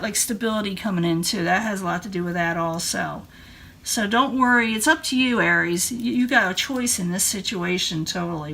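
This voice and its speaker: Woman reading tarot, slightly hoarse, noisy recording. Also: medium pitch, a bit bright. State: voice starting to go hoarse, throat clearing. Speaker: tarot card reader. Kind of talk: informal tarot reading narration.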